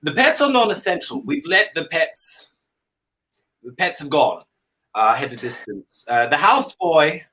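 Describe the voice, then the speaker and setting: A man's voice: fairly high pitch, sing-song, measured pace, sharp tone, rough recording. A man from Canada chatting comically as a talk show guest in an affected, theatrical dandy persona, with hesitant phrasing. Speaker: drag comedy performer, talk show guest. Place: indoor room at home, on a video call. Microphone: video call.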